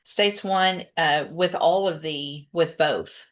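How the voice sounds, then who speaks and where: A woman speaks as a judge presiding over a hearing in a courtroom. Her voice sits low for a woman, and she gives instructions and rulings. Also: slow.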